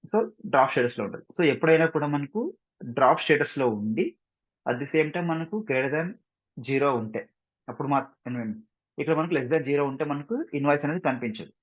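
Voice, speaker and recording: A man with an Indian accent giving an informal step-by-step software tutorial as instructor, mixing in English phrases and often checking understanding with short questions. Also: a little high, fairly quick. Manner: mixes English phrases into his explanations. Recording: dull, muffled lo-fi microphone.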